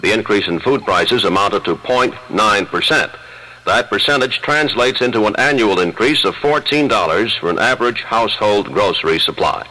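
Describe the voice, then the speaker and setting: A man's voice: fairly low pitch, normal speed, pushed and bright, low-quality recording. An American man reading a scripted radio network news report. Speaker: radio newsreader. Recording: 1970s FM radio aircheck.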